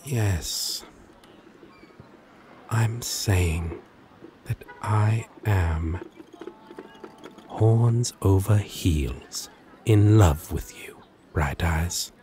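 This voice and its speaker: Slow, low-voiced flirty demon played by a man. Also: mellow, a little hiss or hum. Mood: earnest, tender. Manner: teasing, halting, dramatic delivery. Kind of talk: ASMR romance roleplay acting.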